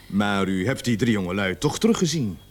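A man acting in a radio drama as a stern, methodical police interrogator who speaks in a measured, pressing way. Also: lowish voice, lively intonation. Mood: probing, cool.

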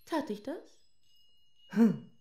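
Theatrical, sensual, husky middle-aged lady. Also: medium pitch, big pitch swings, takes it slowly, warm tone, heavily acted. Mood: mock indignant, seductive. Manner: grandiose, breathy, dramatic phrasing with sudden whispers. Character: sensual, theatrical lady writer craving self-abasement. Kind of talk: German radio play acting.

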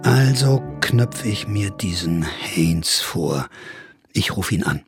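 A man's voice: deep voice, lively intonation, slow, breathy edge, no room sound, soft background music, exaggerated voice. A man acting a sardonic, rambling veteran of the sixties counterculture who narrates a radio drama as an amateur sleuth, wry and theatrical. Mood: wry musing. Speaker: narrator and investigator.

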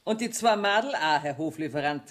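A woman's voice: sits low, animated, fairly quick. An actress playing a shrewd, domineering female banker in a radio play, speaking blunt, earthy Bavarian dialect. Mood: demanding.

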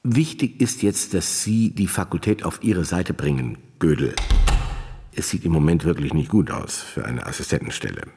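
An actor playing a distinguished, preoccupied middle-aged professor in a radio drama, with a husky voice. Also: male voice, low-pitched, expressive, moderate pace, dark tone, full character voice. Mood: weary, testy. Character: distinguished older Austrian professor, preoccupied, later dazed and dying. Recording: long reverberant hall with music underneath. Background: music.

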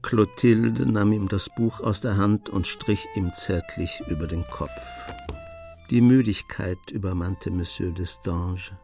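A man narrating a scripted radio drama in a measured, storytelling manner. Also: deep voice, creaky, mellow, soft background music.

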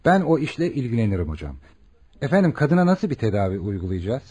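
Courteous, husky-edged hospital doctor. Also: male voice, medium pitch, sing-song, quick pace, mellow. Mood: respectful, concerned. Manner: formal, courteous, clearly explaining. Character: attentive male hospital doctor, polite and questioning. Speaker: actor. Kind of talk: Turkish radio theatre acting.

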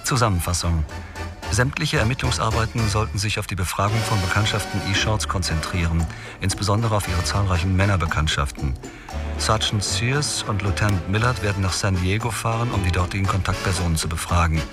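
Actor doing low-voiced guy reading a clipped police report, kinda distant, music under it. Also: a little fast, natural voice. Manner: clipped, reading in note form. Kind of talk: radio drama reading.

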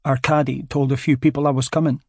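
Man doing a dry witted scottish guy, thirties, soft-spoken, husky, close dry sound. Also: medium pitch, level tone, quick pace, no echo. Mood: polite. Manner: polite, understated, dryly ironic. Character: mild, wry thirty-something Scottish man.

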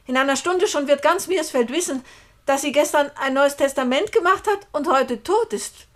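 A high-voiced young woman in a German radio play. She is anxious and dramatic, pressing her words with urgent, pleading insistence. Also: normal speed, a bit bright. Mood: pleading, insistent.

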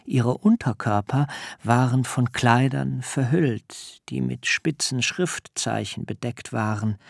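An actor playing an awed biblical patriarch who recounts a dream vision solemnly in a literary radio drama, with measured, rapt delivery. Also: male voice, mid-range voice, gravelly, no room sound, clean studio sound.

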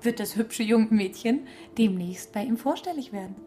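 A woman's voice: on the high side, expressive, normal speed, warm tone, soft background music, lightly acted. A woman playing a cool, scheming thirty-something. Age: 30s. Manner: blunt, offhand. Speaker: librarian. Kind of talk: radio drama acting.

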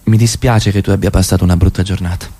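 A man's voice: low voice, fairly even intonation, fast talker, dark tone. A man acting in radio theatre as a blunt, teasing middle-class husband, with an emphatic, insistent delivery. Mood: polite, probing.

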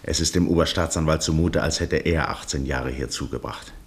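Male narrator, extremely low pitch, grave, precise, literary radio drama storytelling. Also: fairly quick.

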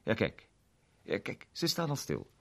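Busy police inspector voiced by a man, eager, says stuff twice, low husky. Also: a little slow, exaggerated voice. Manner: excited, repeats words. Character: bustling male police inspector.

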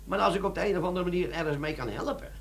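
An actor playing a wily, brazen army sergeant, a chummy smooth talker in a comic radio play. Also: male voice, high voice, racing, full character voice. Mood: feigned innocence. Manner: cheeky, chummy, feigned innocence.

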